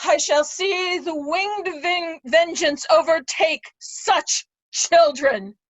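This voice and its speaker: A woman's voice: very high voice, takes it slowly, muffled recording. A woman playing a tormented, anguished old nobleman in a readers' theatre reading of a Shakespearean tragedy. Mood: defiant. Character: old nobleman Gloucester, tortured, blinded, anguished.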